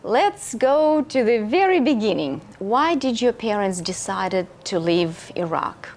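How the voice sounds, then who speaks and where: A woman's voice: fairly high pitch, big pitch swings, measured pace, darkish tone. A woman hosting an interview with an enthusiastic presenter delivery, recorded at a bar venue. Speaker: interview host. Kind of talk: hosted interview.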